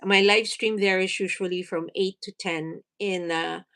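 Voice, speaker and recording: A woman's voice: lowish voice, steady tone, unhurried, fairly bright tone. A woman in her forties from the Philippines, a native Tagalog speaker, hosting a casual livestream, reading comments aloud and laughing often. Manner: chatty, laughs often, thinks aloud with fillers. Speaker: livestream host.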